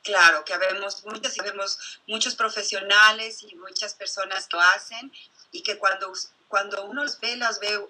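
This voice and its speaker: Woman, psychologist, very bright tone, husky, reflective, frequent fillers. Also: medium pitch, steady tone, moderate pace, rough recording. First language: Spanish. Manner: thoughtful, many fillers like este and ¿no?. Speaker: psychologist guest. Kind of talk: conversational interview answers.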